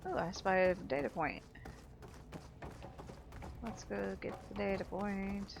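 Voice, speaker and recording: A woman's voice: mid-range voice, slow pace, gravelly, background noise. A woman giving casual gameplay commentary, musing aloud in folksy, informal phrasing. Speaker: gamer, let's play host. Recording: game music behind voice.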